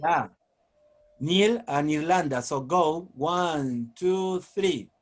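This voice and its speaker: A man with a Peruvian Spanish accent hosts an online teachers' meeting, cheerful and quick to joke. His voice sits a little high for a man and comes through a video call. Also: expressive, faint music behind. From Peru. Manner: cheerful and joking.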